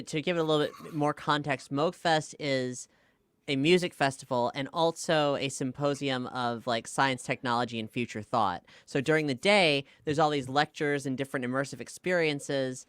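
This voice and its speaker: A man's voice: on the high side, moderate pace, a bit bright, clear, resonant tone, no echo, clean studio sound. A man chatting casually as a podcast guest, explaining things in a thoughtful, wry way. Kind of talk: casual conversational interview.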